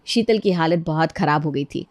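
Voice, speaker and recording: A woman's voice: low-pitched, moderate pace, a little mellow, no room sound. A woman narrating a scripted cartoon bedtime story as the storyteller.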